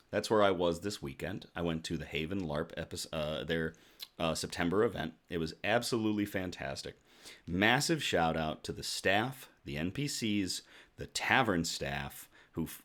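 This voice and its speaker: A man hosting a casual livestream, chatting to viewers in a jovial, effusive way, his voice slightly rough from shouting. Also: low-pitched. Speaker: host of a casual news and beer livestream.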